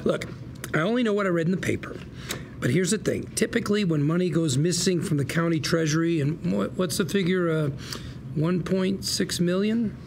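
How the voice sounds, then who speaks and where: An actor playing a glib, eager small-time lawyer at a table read, pitching hard in salesman patter with small hesitations. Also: normal speed, gravelly. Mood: persuasive. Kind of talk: acted dialogue at a table read. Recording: noticeable background room noise.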